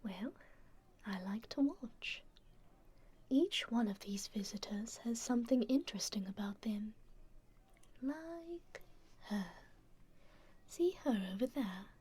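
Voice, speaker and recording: A woman playing a kind, teasing stranger in an intimate ASMR roleplay, speaking gently and unhurriedly in a breathy, whispery voice. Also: a little high, expressive, slow, long pauses, exaggerated voice. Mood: wistful, curious. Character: kind, teasing female stranger caring for a festival-goer.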